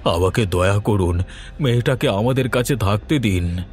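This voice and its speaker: A man voicing an excitable, easily frightened village father in a horror cartoon, urgent and exclamatory. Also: lowish voice, normal speed, soft background music, natural voice. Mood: pleading. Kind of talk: dubbed horror cartoon dialogue.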